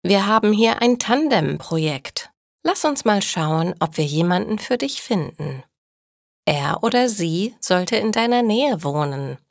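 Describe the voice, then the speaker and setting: A patient male teacher, as a woman reads him out in a learners' storybook. The lines come slowly and plainly, with a lively rise and fall in pitch. The voice sounds close and dry. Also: creaky, no room sound, heavily acted. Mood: helpful.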